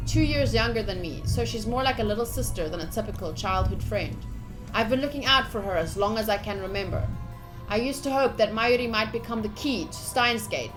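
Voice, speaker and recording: A woman with a fairly high voice streams a visual novel. She reads the text aloud expressively and chats casually, with an excitable, playfully dramatic way of talking. Game music plays behind her. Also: low-quality recording. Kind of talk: livestream playthrough, reading game text aloud with casual commentary.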